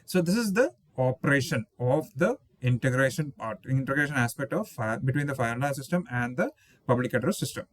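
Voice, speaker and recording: A man's voice: mid-range voice, expressive, fairly quick, no echo. A man in his thirties teaching an engineering lesson over slides, explaining step by step and often repeating and rephrasing himself with "okay" and "uh". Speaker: engineering instructor. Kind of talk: slide-based technical lecture. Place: indoors, voice-over on slides with small webcam inset.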